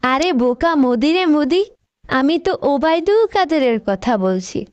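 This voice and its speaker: A woman's voice: high-pitched, big pitch swings, normal speed, a little mellow, lo-fi sound, slight background noise. A woman voicing a bossy, scolding fallen female leader with dramatic, mocking delivery in a comic cartoon. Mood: teasing, wistful.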